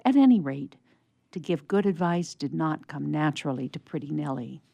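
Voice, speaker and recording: A woman's voice: low-pitched, expressive, normal speed, creaky, mellow, no room sound. A woman narrating a scripted story for radio theatre in a storybook style.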